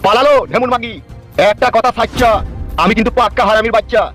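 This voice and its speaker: A man's voice: very high voice, steady tone, fast. A man voicing a vengeful, foul-mouthed wronged husband in a cartoon melodrama. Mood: scornful, defiant. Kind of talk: amateur Bengali cartoon melodrama. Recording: music under the voice.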